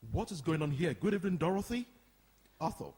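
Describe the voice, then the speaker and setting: A man's voice: on the high side, sing-song, moderate pace. An actor playing a courteous, practical community centre man who explains things politely in a radio play. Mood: concerned. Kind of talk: radio drama acting before an audience. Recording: reverberant hall, faint background noise.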